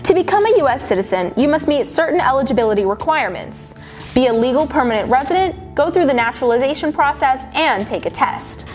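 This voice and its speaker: A woman's voice: high-pitched, lively intonation, fast talker, faint music behind. A woman reading scripted documentary narration.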